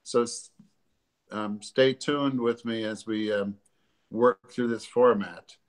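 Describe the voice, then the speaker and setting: A man, hosting a live stream as a doctor from his living room, a bit congested, pausing often with ums and uhs. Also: fairly low pitch, steady tone. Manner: halting, many ums and uhs. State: congested from allergies. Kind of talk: casual educational talk. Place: living room at home, sitting on a sofa. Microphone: webcam or laptop camera at desk distance.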